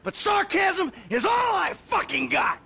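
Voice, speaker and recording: Casting: bitter middle-aged retired crook, game cutscene, high-pitched and lively, sarcastic and profane. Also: male voice, measured pace, creaky, raised voice, exaggerated voice. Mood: bitter, exasperated. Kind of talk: video game cutscene acting.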